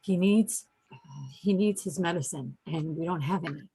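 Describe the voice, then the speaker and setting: An actress playing a weary, protective woman with a husky, creaky voice, earnest and subdued. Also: fairly low pitch, moderate pace, warm tone, faint music behind, low-quality recording. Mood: worried, determined.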